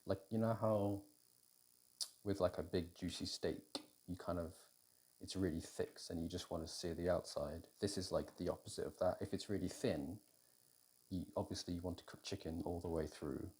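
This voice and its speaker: A British man in an intimate, casual ASMR roleplay as a boyfriend cooking, talking in an unhurried, hesitant way as if thinking aloud. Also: low voice, fairly even intonation, a little mellow, a little hiss or hum. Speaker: audio roleplay performer playing a boyfriend cooking. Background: kitchen food preparation sounds.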